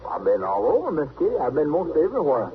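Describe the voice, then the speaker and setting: An actor playing a young, plain-spoken backwoods loner with a rural American drawl in an old-time western radio drama. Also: male voice, a little high, huge pitch swings, quick pace, a crowd in the background. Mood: shy, frank. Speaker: trapper. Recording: muffled vintage radio recording.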